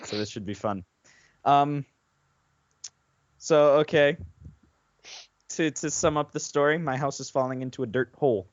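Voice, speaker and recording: Male podcast co-host, informal storyteller, medium pitch, dry but dull-sounding home recording. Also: measured pace, pauses often, dry recording. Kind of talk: casual storytelling among friends.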